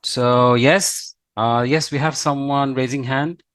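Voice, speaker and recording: A man's voice: medium pitch, fairly even intonation, slow pace, a bit bright. A man moderating an online course session on a video call, politely taking questions with frequent hesitant fillers. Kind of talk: hosting an online Q&A.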